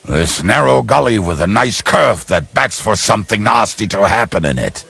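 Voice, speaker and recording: An actor voicing a boozy, cheeky middle-aged ruffian in a video game, with a gravelly, husky voice and a rambling manner. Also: male voice, fairly low pitch, very animated, normal speed, fairly bright tone, dry recording, heavily acted. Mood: wry, rambling. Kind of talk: video game character acting.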